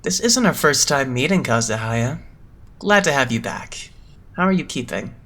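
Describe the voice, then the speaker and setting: A man voicing a composed, eloquent young male idol leader in an anime fandub, measured, polite and a little pompous. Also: medium pitch, expressive, pushed and bright. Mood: smooth, assured. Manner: measured, pompous, smoothly condescending. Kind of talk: anime-style fandub voice acting.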